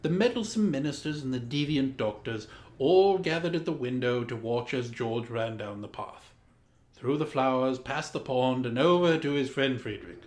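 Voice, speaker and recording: A man's voice: mid-range voice, lively intonation, moderate pace, a little mellow. A man reading a children's story in a pompous, grandly formal and theatrical way, with dramatic emphasis. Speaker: storyteller, host of a story time. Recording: roomy, echoing room sound.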